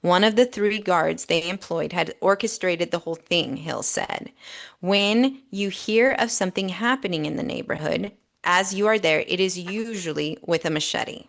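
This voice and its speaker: Woman on a podcast walking through reports step by step, lowish voice w some fry, emphatic. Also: normal speed, fairly bright tone. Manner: methodical, emphatic, checks in with "okay?". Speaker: podcast co-host. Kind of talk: explanatory podcast talk, reading out reports.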